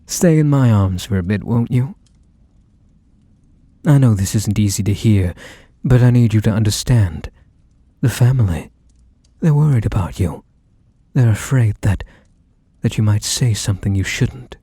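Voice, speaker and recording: Low, husky, unhurried mafia boss for ASMR roleplay, male actor: manipulative, obsessive sweetheart talk with quiet menace, close and dry. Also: no echo. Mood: tender, possessive. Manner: coaxing, drawn-out endearments, softly menacing. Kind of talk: ASMR roleplay, intimate monologue to the listener.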